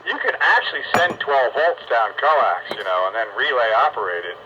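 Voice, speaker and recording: A man's voice: mid-range voice, big pitch swings, moderate pace. A plainspoken, folksy man chatting casually over hobby radio. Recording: dull radio transmission with some noise.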